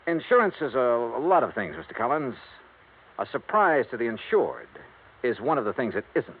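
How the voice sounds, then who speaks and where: An actor playing a blunt, hardboiled insurance investigator in a 1950s radio drama, asking brisk, dry questions. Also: male voice, mid-range voice, big pitch swings, breathy edge. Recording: tinny lo-fi 1950s radio broadcast with faint hiss.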